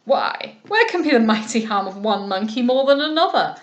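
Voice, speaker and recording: A woman reader playing a boisterous, coarse old male sea captain who speaks loudly and laughs at others. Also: very high-pitched, sing-song, normal speed, heavily acted. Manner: loud, rough, laughing, countrified speech.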